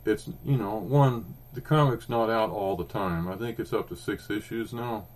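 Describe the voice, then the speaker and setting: Lower-voiced oklahoma guy in his 50s, rambly comics chat, lots of ums. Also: normal speed. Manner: rambling storyteller, frequent ums and restarts. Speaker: podcast co-host. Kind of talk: casual chat about comics.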